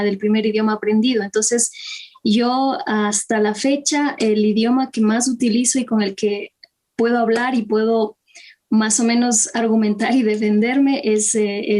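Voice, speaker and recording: A woman's voice: a little high, darkish tone. A woman from Chimborazo, Ecuador, whose first language was Kichwa, answering as a guest singer hesitantly with many fillers. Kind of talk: interview answer.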